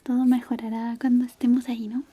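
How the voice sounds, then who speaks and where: A woman doing a soft-spoken, half-whispered ASMR roleplay as a shy, caring high school classmate, gentle and hesitant. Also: level tone, a little fast, breathy edge. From Chile.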